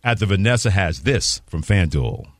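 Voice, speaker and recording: A man with a fairly low voice in a very dry studio sound. He hosts sports talk radio and leans on repeated phrases to make his points emphatically. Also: expressive, normal speed, no room sound. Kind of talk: sports talk commentary and show promos.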